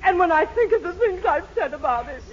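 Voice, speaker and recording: A woman's voice: very high-pitched, expressive, brisk. An actress playing a domineering, melodramatic middle-aged wife and mother in a 1940s radio sitcom. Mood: weepy, remorseful. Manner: domineering, scolding, theatrical. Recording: noisy, muffled 1940s radio recording.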